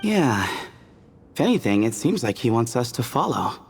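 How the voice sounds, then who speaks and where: A playful young desert adventurer for a video game, breezy and well-read. The pitch swings freely through the lines. The voice rings in long reverb over faint ambient noise. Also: male voice, big pitch swings, normal speed. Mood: curious.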